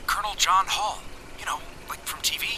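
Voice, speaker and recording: An actor playing an eager, earnest ghost-hunting scientist in an audio drama, with an enthusiastic manner. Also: male voice, sits high, fairly quick, background noise, natural voice. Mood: excited, confident. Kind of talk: full-cast audio drama acting.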